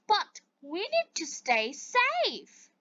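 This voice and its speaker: A cautious, reasonable little storybook fish, voiced by a woman, speaks with gentle firmness. The voice is very high and bright, slowly delivered, with slightly dull sound. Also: wildly swooping pitch, no room sound, heavily acted. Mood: sensible, concerned. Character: sensible, cautious yellow fish, agreeable friend.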